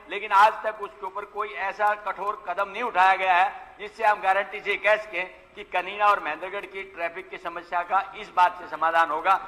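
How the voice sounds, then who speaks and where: A man's voice: sits high, pushed and bright. A man making a formal, insistent complaint as a legislator addressing an assembly. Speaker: opposition legislator questioning a minister. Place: large legislative assembly hall. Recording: long hall reverb of assembly chamber.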